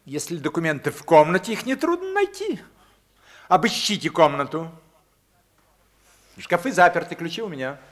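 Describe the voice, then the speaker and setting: A man's voice: a little high, sing-song, moderate pace, pauses often. An actor playing an imperious older senator and father in a radio drama, speaking in a commanding, stern way. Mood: agitated, insistent. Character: imperious wealthy senator and father, accusing his own daughter. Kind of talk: Russian radio drama acting.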